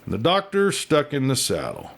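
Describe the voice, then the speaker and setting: A man narrates a western novel in a folksy, dramatic way. His voice sits at a medium pitch, and he tells it as a storyteller would, with plenty of expression. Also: huge pitch swings, normal speed, creaky, a bit bright. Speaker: audiobook reader and storyteller.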